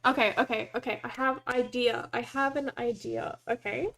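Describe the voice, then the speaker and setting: A woman's voice: fairly high pitch, steady tone, moderate pace, creaky, fairly bright tone, low-quality recording. A young Australian woman in casual chat, giggly and self-mocking, muttering at her screen as she types. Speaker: co-host. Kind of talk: casual worldbuilding chat while typing.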